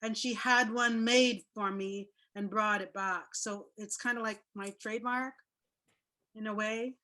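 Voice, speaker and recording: A woman telling a personal story in casual chat over a video call, with a loud, chatty way of talking. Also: mid-range voice, fairly even intonation, measured pace. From Jamaica. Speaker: guest speaker.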